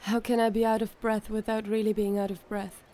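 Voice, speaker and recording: A woman acting in an audio drama as a guarded, sluggish young person, speaking earnestly and haltingly. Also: medium pitch, flat delivery, mellow, a little hiss or hum, close to an everyday voice. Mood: weary, earnest.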